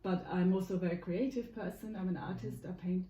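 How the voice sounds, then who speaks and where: A woman's voice: fairly low pitch, fairly even intonation, a little fast, mellow, far from the mic. A bubbly woman from Mexico and Germany who laughs easily while she shares her hopes. She sits somewhat back from the mic in an echoey room. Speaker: participant, artist. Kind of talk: casual chat.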